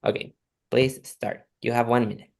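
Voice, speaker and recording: A man conducting an oral speaking exam, polite and measured, with occasional restarts. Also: mid-range voice, fairly even intonation, vocal fry. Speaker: examiner.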